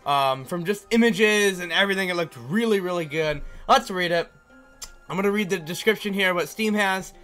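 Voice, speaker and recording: A man's voice: high-pitched, moderate pace, a bit bright, faint music behind. A man hosting a gaming video, casually commenting and reading game text aloud, sometimes stumbling over words.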